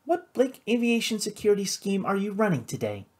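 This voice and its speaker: A woman's voice: mid-range voice, big pitch swings, a little fast, a little mellow, pitched above the performer's own voice. A woman voicing a playful, defiant young socialite sister in theatrical audiobook dialogue. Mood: bitterly sardonic. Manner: teasing, theatrical.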